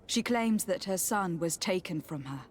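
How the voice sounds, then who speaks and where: A woman's voice: fairly low pitch, expressive, normal speed, a little hiss or hum, close to an everyday voice. An actress playing a poised, courteous and resolute young noblewoman envoy in a video game, speaking formally and with composure. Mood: polite, resolute. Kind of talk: video game cutscene dialogue acting.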